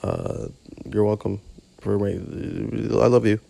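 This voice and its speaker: A man hosting his podcast in a casual, rambling monologue, gravelly from just waking up, joking at his own expense. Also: on the low side, huge pitch swings, slow pace, mellow. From Canada. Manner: rambling, self-mocking, many restarts. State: just woke up. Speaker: podcast host.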